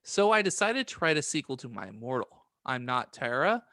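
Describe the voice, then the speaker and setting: A man's voice: medium pitch, sing-song, a little fast, pushed and bright, no room sound. A man co-hosting a podcast, chatting casually and sometimes reading notes aloud. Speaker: podcast co-host.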